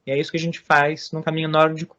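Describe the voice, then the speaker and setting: High-voiced Brazilian man explaining. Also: completely flat delivery, a bit bright. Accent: Brazilian Portuguese. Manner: measured, many hesitations and restarts. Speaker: author and guest on Norse religion. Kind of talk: explanatory interview talk.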